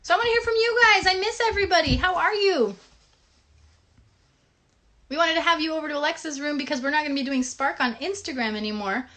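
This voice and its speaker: A woman co-hosting a children's puppet show, chatting casually in an encouraging, gently instructive way. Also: high-pitched, expressive, quick pace. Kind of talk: casual interactive chat with children.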